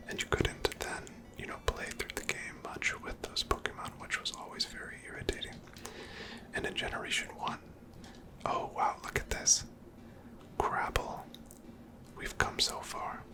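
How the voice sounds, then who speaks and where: A person whispering gentle, chatty ASMR commentary over a video game, breathy and close to the microphone. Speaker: gameplay host.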